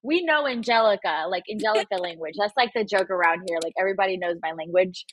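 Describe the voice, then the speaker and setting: A Spanish-speaking woman in her thirties from Puerto Rico, giving advice in a lively video-call interview through earbuds. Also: medium pitch, quick pace, rough recording. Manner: animated, acts out quoted voices. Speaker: guest photographer and coach. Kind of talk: casual livestream interview. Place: room at home. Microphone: wireless earbuds, video call.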